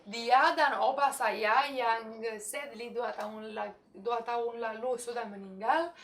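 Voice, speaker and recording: A woman's voice: on the high side, fairly even intonation, slow pace, fairly bright tone. A young woman, chatting casually in a home vlog, still getting over a cold. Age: young adult. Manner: chatty, switches between languages. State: coughing from a cold. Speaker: vlogger. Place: home living room.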